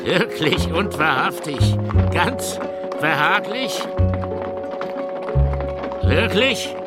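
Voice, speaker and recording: Old male carter, grumpy yet bashful, high swooping voice, halting short questions, radio play, music and clopping hooves behind. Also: sing-song, full character voice. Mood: coy, eager.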